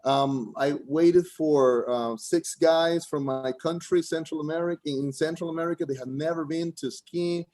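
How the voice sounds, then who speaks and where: A man with a Costa Rican Spanish accent speaks in a somewhat high voice. He rambles through a stand-up set over a video call, stumbling, restarting, and filling gaps with "man." Spanish is his first language. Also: fairly even intonation, warm tone. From Costa Rica. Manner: halting, frequent restarts, keeps saying "man". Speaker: first-time open mic comedian.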